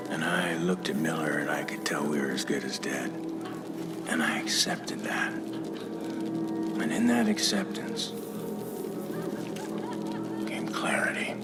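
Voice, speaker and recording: Very deep, menacing American veteran villain. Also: male voice, in a whisper. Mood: grim, reflective. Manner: slow, deliberate, breathy menacing storytelling. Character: menacing older male army veteran turned cult commander. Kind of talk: video game villain monologue. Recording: loud music behind the voice. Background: loud music.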